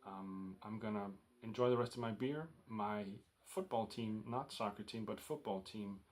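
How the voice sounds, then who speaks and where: A man with a Danish accent talks casually through his record haul, pausing often with ums and uhs. His voice sits a little low. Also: a little mellow. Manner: rambling, full of ums and uhs. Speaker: record collector vlogger.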